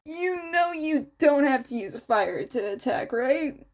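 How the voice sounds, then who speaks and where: Female reader as confident teen boy student, fan fiction audio; practical, explanatory, lively. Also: high voice, slow pace, voice pushed up. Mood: suggesting. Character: confident, practical teenage boy hero student, advising classmate.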